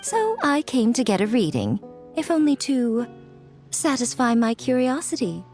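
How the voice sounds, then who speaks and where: Game schemer: refined, coolly amused, mock-plaintive, high with wide lilting pitch, soft music behind. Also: female voice, sing-song, measured pace. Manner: coy, drawling, mock-plaintive. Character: sly, teasing, elegant lady editor, coolly amused. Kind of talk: video game voice acting.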